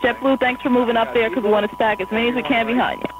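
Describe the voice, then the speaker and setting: A woman air traffic controller in an airport tower, giving quick, polite instructions to pilots over the radio. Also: on the high side, steady tone, narrow, tinny audio, over music. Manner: brisk, polite and apologetic. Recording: noisy radio transmission with hum.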